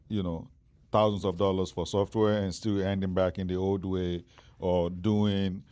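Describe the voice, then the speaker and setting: A man's voice: deep voice, warm tone, clean tone. A Nigerian man in his forties, a startup founder, answering interview questions with many fillers and restarts. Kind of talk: interview conversation.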